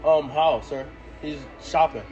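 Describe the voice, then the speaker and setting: A man's voice: fairly high pitch, measured pace, dull audio. A man talking casually and politely in a store prank, playing a worker who calls people sir. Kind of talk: casual chat in a hidden-camera prank. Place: large retail store, indoors. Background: loud music.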